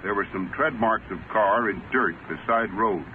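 An actor playing a dutiful field agent in an old-time radio drama, reporting in a clipped, official manner. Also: male voice, lowish voice, normal speed, close to an everyday voice. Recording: tinny vintage radio recording, light noise.

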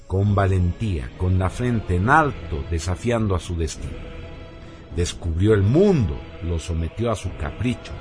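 Deep-voiced man in solemn, swooping narration. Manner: emphatic, declamatory. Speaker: narrator of a radio programme. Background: background music.

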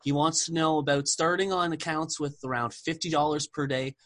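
Man hosting a podcast, slightly high. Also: brisk. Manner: relaxed, short affirming replies. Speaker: podcast host. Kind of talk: casual interview hosting.

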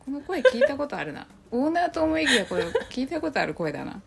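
A woman's voice: high-pitched, very animated, fast, gravelly, voice pushed up, heavily acted. Woman playing a bewildered, polite property agent. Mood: puzzled. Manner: puzzled, hesitant, questioning. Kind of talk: amateur radio drama acting.

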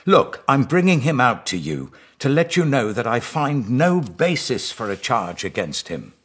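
A man's voice: medium pitch, sing-song, unhurried, fairly bright tone, breathy edge, voice pushed up, exaggerated voice. A man voicing a commanding but uneasy Roman governor in a dramatised scripture audiobook, emphatic and questioning. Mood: exasperated, insistent.

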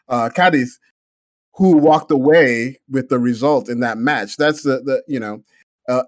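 A man's voice: medium pitch, no echo, studio quality. A man with a Jamaican accent co-hosting a football podcast, talking in a heated, indignant way with many restarts. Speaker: podcast co-host. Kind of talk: casual football podcast chat.

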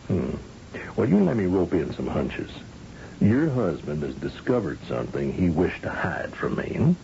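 An actor playing a commanding, folksy governor of a space colony who questions shrewdly, in an old-time radio drama. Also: male voice, low-pitched, very animated, moderate pace, creaky, mellow, heavily acted. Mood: pressing, shrewd. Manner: folksy, probing, patronizing. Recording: dull, noisy old radio recording.

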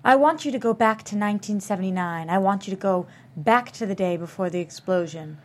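A woman's voice: mid-range voice, fairly quick, close to an everyday voice. A woman playing a soothing, commanding hypnotist at a thriller table read.

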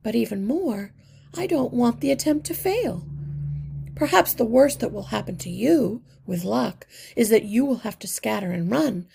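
A small, determined mother mouse pleads her case in a raised, earnest storybook voice, as a woman reads her part. Under the lifted pitch the tone stays gravelly and husky. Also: animated, moderate pace, a little mellow, heavily acted.

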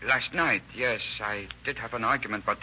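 An actor playing a nervous, evasive Swiss suspect in an old radio crime drama, halting and defensive in stiff, careful phrasing. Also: male voice, mid-range voice, sharp tone. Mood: flustered, defensive. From Switzerland. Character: nervous, evasive Swiss murder suspect, cracking under pressure. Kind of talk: 1930s-1940s radio crime drama acting. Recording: dull, noisy old radio recording.

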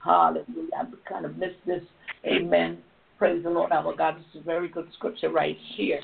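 A hoarse woman hosting a prayer line, leading intercession fervently and repeating words of praise. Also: lowish voice, little pitch movement, normal speed, a little hiss or hum. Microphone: phone call. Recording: tinny phone line.

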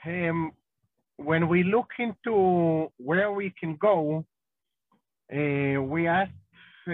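An Israeli man with a high voice talks at an unhurried pace, pausing often with ums and uhs. The sound is dry and tinny, like a phone line. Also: dry recording. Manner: slow and halting, many ums and uhs. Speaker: rabbi, interview guest. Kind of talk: podcast interview.

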